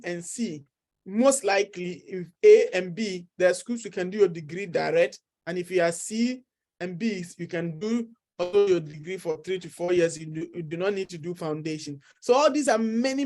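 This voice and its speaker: A man's voice: sits high, a little mellow. A middle-aged man with a Ghanaian accent, a study-abroad consultant earnestly encouraging viewers and repeating his key points. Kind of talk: persuasive talk to camera.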